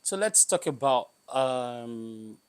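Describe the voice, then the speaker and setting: A man's voice: mid-range voice, lively intonation, slow, clean tone, no echo. Male host, short questions, lots of mm-hmms, dry sound. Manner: brief prompts, frequent "mm-hmm" agreement. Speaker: podcast host. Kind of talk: interview questions and backchannel.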